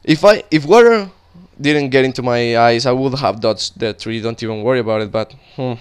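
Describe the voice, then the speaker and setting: A man's voice: mid-range voice, brisk, clean tone. A young man from Colombia, a native Spanish speaker with a Colombian accent, rambling casually while commenting on his gameplay. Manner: rambling and chatty, talking while playing, frequent restarts. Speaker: gaming video creator.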